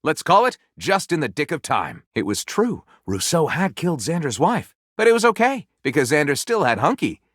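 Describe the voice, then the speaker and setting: A flamboyant, pompous male author character from a video game, spinning tales with grandiose melodrama. The voice is bright and forceful, leaping widely in pitch with excitable, gushing flourishes. It is recorded very dry and close. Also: normal speed, few pauses, no room sound. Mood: theatrical, wry. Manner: grandiose, melodramatic narrator delivery with gushing exclamations. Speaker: voice actor playing a game character. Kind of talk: theatrical storytelling, video game voice lines.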